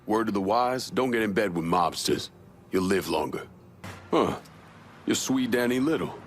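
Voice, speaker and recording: A man playing a tough, calm young vigilante in a crime drama game, speaking in a dry, terse way. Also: normal speed, a little hiss or hum, lightly acted. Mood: dry, steady. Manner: dry, terse, low-key. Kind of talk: dramatic video game acting.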